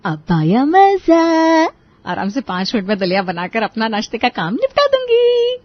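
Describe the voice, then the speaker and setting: A woman voicing a scheming, bossy, sharp-tongued wife in a family cartoon, scolding and sarcastic. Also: fairly high pitch, wildly swooping pitch, unhurried, no echo, lo-fi sound. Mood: gleeful. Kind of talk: Hindi family cartoon voice acting.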